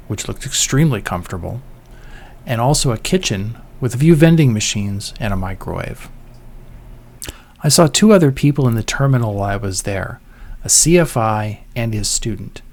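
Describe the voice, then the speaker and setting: A man narrating a vlog in a measured, scripted voice-over, telling things in an orderly way. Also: mid-range voice, lively intonation, darkish tone.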